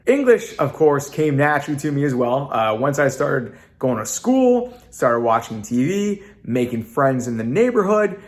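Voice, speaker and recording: A young Canadian man with a North American accent, a native Italian speaker, talking earnestly to camera in a casual vlog with lots of "uh" fillers. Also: medium pitch. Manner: earnest, repetitive, frequent "uh" fillers. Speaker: vlogger.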